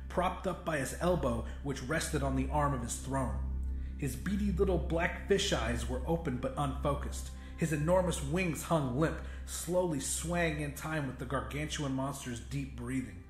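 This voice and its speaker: A man's voice: on the high side, a little slow. Male horror narrator in an echoey space. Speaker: audiobook narrator. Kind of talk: scripted dramatic fiction narration. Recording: roomy echo with faint music. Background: faint music.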